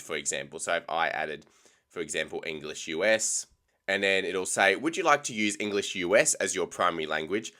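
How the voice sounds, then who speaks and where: A young Australian man with an Australian accent, casually talking through a software tutorial with frequent ums. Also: low voice. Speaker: tech tutorial host. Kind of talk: screen-recorded software tutorial.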